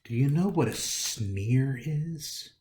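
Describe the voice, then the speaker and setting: An American man reads a picture book to young children in a roomy, echoing space. His delivery is theatrical, with pitch that swings widely. He takes his time and speaks straight to his listeners as a storytime host. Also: slow pace, a little hiss or hum. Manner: animated, theatrical, talks directly to young listeners. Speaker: library storytime host reading aloud. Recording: roomy, echoey indoor sound.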